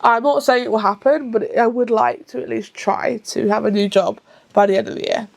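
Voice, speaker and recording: A woman's voice: on the high side, fairly even intonation, gravelly, a little mellow. Chatty woman around thirty. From England. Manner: chatty and rambling, with frequent self-corrections; rushed at times. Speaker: book vlogger. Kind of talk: casual to-camera vlog.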